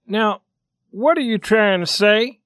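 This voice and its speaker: A man's voice: sits high, slow, fairly bright tone, dry recording, voice pushed up. A male narrator acts out a blunt young storybook lad with a short temper. His raised voice sounds exasperated and challenging, recorded dry and close. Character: blunt, irritable young son of a hotel owner.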